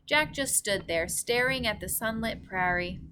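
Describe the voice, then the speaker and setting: Bright-voiced woman reading a children's story, unhurried. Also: medium pitch, expressive, slight background noise. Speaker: teacher reading aloud to children. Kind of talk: storybook read-aloud narration.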